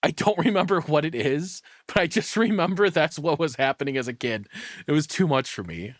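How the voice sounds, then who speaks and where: A man's voice: a little high, expressive, a little fast, no room sound, clean studio sound. A tired man casually commentating on a live stream as he reacts to a video, crude, profane and self-deprecating.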